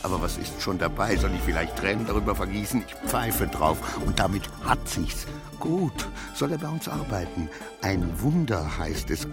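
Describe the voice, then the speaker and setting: Anxious, erratic, low-voiced clerk. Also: male voice. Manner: agitated muttering to himself, erratic swings from dread to defiance. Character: anxious, self-pitying male clerk, brooding over his double. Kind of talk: radio play monologue.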